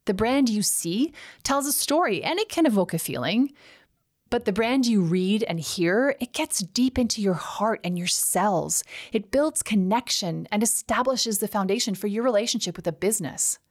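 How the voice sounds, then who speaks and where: A middle-aged woman with a slight Canadian accent hosting a scripted solo podcast, enthusiastic and emphatic, slowing down on key words. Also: medium pitch, expressive. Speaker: podcast host.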